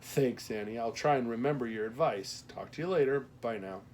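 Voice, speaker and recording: A man's voice: medium pitch, brisk, a little mellow, a little hiss or hum, natural voice. A man voicing a wry, good-natured middle-aged husband in casual audiobook dialogue. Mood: mock-earnest.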